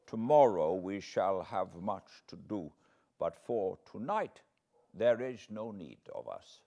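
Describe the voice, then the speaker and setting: A man voicing an elderly foreign professor from a classic novel, solemn and fatherly, with quaint, deliberate phrasing. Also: low-pitched, very animated, creaky, dark tone, heavily acted. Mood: weary, kindly. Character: elderly foreign male professor, grave, tender, paternal, eccentric.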